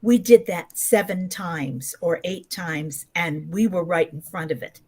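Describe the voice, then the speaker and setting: An older woman, around her seventies, speaks in a lowish voice as a podcast guest. She tells anecdotes in a casual, emphatic way, slipping into quoted dialogue as she goes. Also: a little slow, warm tone.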